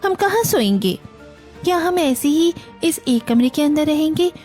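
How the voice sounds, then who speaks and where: A woman's voice: sits high, animated, measured pace, warm tone, soft background music, pitched above the performer's own voice. A woman playing a demanding young newlywed bride in a cartoon, complaining in an indignant, entitled manner. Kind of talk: Hindi cartoon family story acting.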